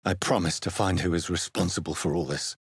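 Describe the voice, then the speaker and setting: An actor playing a grave, refined British doctor turned vampire in a video game, speaking formally with measured, precise diction. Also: male voice, low voice, fairly even intonation, husky, no room sound, studio quality. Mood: brooding, resolute. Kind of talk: video game character dialogue.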